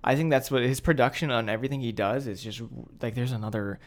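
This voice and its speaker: A young American man with a mid-pitched voice chats as a podcast co-host. He talks casually and slangily, with a wry edge to his delivery. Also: fast. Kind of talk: casual chat.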